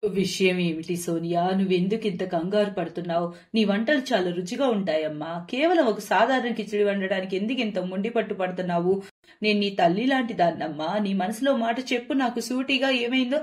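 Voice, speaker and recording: A woman voicing a middle-aged mother-in-law, doting yet firm, with a measured, motherly manner, in a story. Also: mid-range voice, lightly acted. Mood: coaxing.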